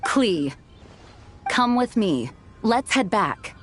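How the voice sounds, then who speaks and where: A woman playing a composed, kindly but firm knight commander in a video game, speaking in a measured, formal way. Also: mid-range voice, sing-song, a little hiss or hum. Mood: calm, firm. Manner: measured, formal, gently authoritative.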